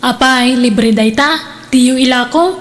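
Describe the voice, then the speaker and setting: A woman's voice: high-pitched, measured pace. A woman playing a scolding, pessimistic older sister in a radio drama, with a disapproving manner.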